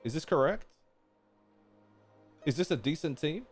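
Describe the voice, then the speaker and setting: Animated man streaming over game music. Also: a little high, measured pace. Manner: questioning, thinking aloud to viewers. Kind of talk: casual live-stream chat while playing a game.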